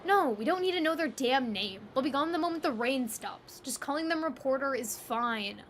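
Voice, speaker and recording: A woman's voice: high voice, lively intonation, normal speed, slight background noise, pitched above the performer's own voice. A woman voicing an abrasive, hot-tempered graffiti artist in an audio drama, brusque and scornful. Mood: irritated.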